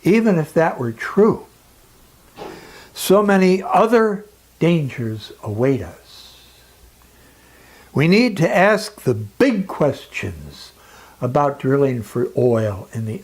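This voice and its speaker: A man delivering scripted educational narration as a lecturer, in a deliberate, emphatic way with dramatic pauses. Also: medium pitch, darkish tone.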